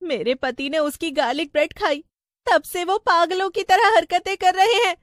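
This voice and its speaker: A woman playing an earnest, plaintive young village wife in an animated story. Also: extremely high pitch, moderate pace, no room sound, clean studio sound. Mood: distressed, determined. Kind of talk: animated Hindi story acting.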